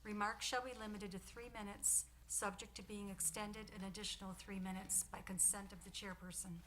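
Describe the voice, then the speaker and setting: A woman reading the agenda and public comment rules aloud at a city council meeting, in a steady procedural manner. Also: medium pitch, brisk, noisy background. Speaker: city clerk. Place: council chamber.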